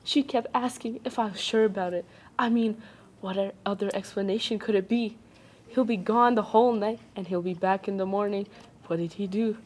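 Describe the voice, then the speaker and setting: A woman's voice: mid-range voice, darkish tone. A young adult woman, not a native English speaker, reading a ghost story aloud on a casual podcast, stumbling and stopping to joke. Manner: reads haltingly, breaks off to joke and laugh.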